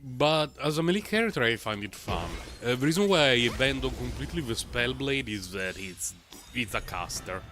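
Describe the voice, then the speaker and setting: A man livestreaming a game, talking in a rambling, chatty way full of fillers, his voice a little low and rough from a recent cold. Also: expressive, moderate pace, hardly any pauses, a bit bright, a little hiss or hum. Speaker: game streamer. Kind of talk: casual live-stream gameplay commentary.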